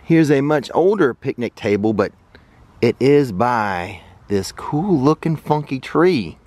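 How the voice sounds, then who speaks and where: A man's voice: medium pitch, animated, slow pace, a little mellow. A man in his forties with an American accent, chatting upbeat to viewers as he kayaks and tours a riverside park, filming himself at arm's length outdoors. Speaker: travel vlogger. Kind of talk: casual travel vlog narration. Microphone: camera held at arm's length.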